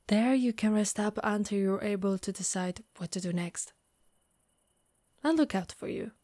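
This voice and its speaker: A woman voicing a gentle, protective undead warrior woman in an ASMR monster roleplay, speaking softly and reassuringly close to the mic. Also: mid-range voice, no echo, natural voice. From Italy. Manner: soft, caring, reassuring.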